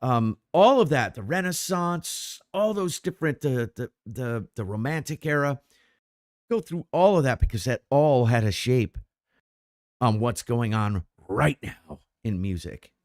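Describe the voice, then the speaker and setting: Lively, animated middle-aged man. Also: mid-range voice, measured pace, no room sound, studio quality. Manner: rambling, animated, frequent restarts. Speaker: podcast co-host. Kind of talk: casual chat.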